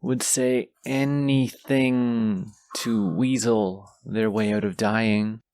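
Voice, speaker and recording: Man voicing a haughty, very slow-talking menacing dragon. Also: fairly low pitch, steady tone, darkish tone, dry recording. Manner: slow, deliberate, pompous, savoring threats. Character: menacing, haughty wounded dragon guarding its hoard. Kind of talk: fantasy audio roleplay acting.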